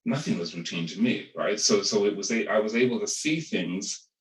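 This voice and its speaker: Male academic panelist, deep voice, halting restarts, roomy distant sound. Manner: thoughtful, hesitant with many restarts. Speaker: panel speaker, ethnographer. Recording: echoey room, far from the mic.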